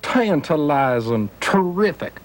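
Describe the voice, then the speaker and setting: A grand puppet letter for children's educational television. The voice is fairly high for a male, very unhurried and theatrical, leaning hard on key sounds. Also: sing-song. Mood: delighted, instructive. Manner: deliberate, theatrical, emphatic. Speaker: puppet character on educational show.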